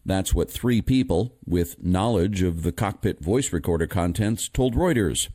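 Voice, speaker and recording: A man reading scripted news stories in a measured, formal manner. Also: sits low, animated, mellow, no room sound, studio quality. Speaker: news reader.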